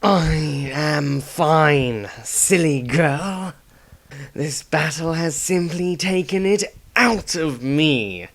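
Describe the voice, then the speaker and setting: A man's voice: a little high, lively intonation, drawn-out words, fairly bright tone, pitched above the performer's own voice, full character voice. A man voicing a frail, pained man in a fantasy audiobook, weary and condescending in manner. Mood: weary, dismissive. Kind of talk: fantasy audiobook character acting.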